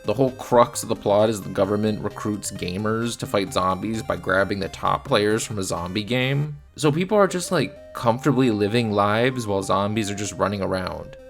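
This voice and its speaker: A man with a fairly low, gravelly voice delivers scripted film commentary. He is blunt and sardonic, like a reviewer laying out complaints, with faint music underneath. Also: moderate pace, few pauses. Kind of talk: scripted video essay commentary.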